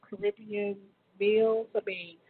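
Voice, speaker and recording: A woman's voice: medium pitch, steady tone, slow pace, husky. Hesitant woman host on a tinny line. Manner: hesitant, restarts questions often. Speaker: show host interviewing a business owner. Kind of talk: casual interview questions. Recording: tinny phone-like line with faint hiss.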